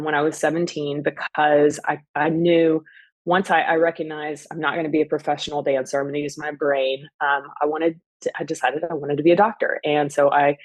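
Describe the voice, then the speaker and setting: A woman's voice: sits low, no room sound. A woman in her forties from Texas with a Texan accent, hosting her own show in a loose, rambling solo talk full of fillers and restarts. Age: 40s. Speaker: podcast host, physician. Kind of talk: casual solo monologue.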